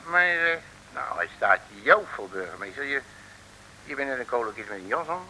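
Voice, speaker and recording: An actor playing a cocky, folksy detective in a comic 1950s radio serial, bossy, wisecracking and colloquial, with hesitant asides. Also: male voice, mid-range voice, lively intonation, normal speed, pushed and bright. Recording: noisy old radio drama recording.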